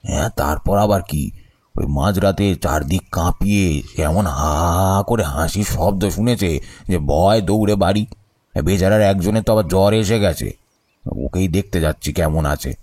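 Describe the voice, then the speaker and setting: An actor playing an elderly, gossipy neighbourhood gentleman who chats with mock concern in an audio story. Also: deep voice, measured pace, no room sound. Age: older. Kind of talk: audio story character acting.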